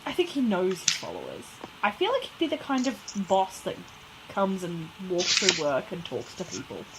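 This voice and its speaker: A woman co-hosting a podcast in casual banter, playful and slangy, joking in a deadpan way. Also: lowish voice, moderate pace, heavy background noise. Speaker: podcast co-host.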